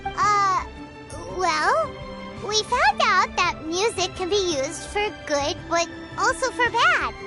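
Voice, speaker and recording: Game fairy companion: tiny, squeaky, bubbly chatterbox with lively pitch swings, loud music behind. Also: female voice, takes it slowly, full character voice. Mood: thoughtful. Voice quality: squeaky, childlike. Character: small chirpy childlike fairy companion, eager, talks of herself by name. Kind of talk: video game character acting. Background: loud tinkling game music.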